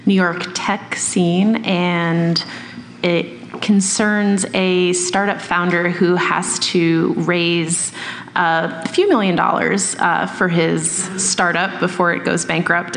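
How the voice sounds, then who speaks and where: A woman, an author and tech reporter, introducing herself in a brisk, composed way. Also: fairly low pitch, in a large hall, a little hiss or hum. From Boston.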